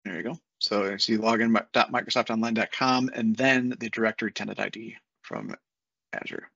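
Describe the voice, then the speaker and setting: A man in his thirties walking through a software setup on a screen share, talking casually step by step with fillers, recorded on a desk mic at home. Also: lowish voice, animated, brisk, creaky, slightly rough, no room sound. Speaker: software consultant. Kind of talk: screen-share tutorial walkthrough. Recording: dull lo-fi dry sound.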